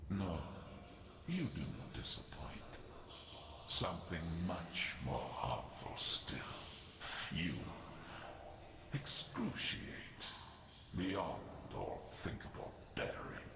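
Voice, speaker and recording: An actor playing a solemn being of the void in a fantasy game, speaking theatrically and slipping into whispers. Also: male voice, low voice, wildly swooping pitch, gravelly, heavily acted. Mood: anguished. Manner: slow, theatrical, breaking into whispers. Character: solemn male void being longing for oblivion. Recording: echoing game effect.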